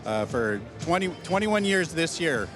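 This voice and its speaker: A man's voice: a little high, very animated, unhurried, music in the background. A man from Wyoming, a network admin, answering an interviewer in a laid-back, plainspoken way.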